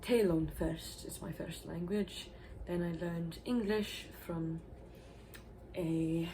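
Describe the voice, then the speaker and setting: A woman explains things to her viewers in a loose, rambling vlog voice, with casual swearing along the way. Her voice sits a little low. A faint hiss runs behind her. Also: darkish tone, poor audio. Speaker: vlogger.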